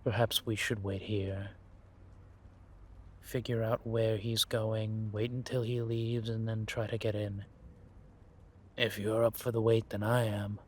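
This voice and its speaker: A man playing a sly, scheming vampire spy in an audio roleplay, husky and theatrical, savoring his words in drawn-out musings. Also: lowish voice, level tone, slight background noise, lightly acted. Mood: watchful, scheming. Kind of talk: scripted audio roleplay monologue addressed to a companion.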